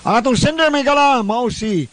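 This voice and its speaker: A man's voice: very high voice, fairly quick. A man with a Cebuano Filipino accent hosting a radio show, chattily greeting listeners and introducing letters. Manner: chatty, addresses listeners directly. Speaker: radio host and letter reader.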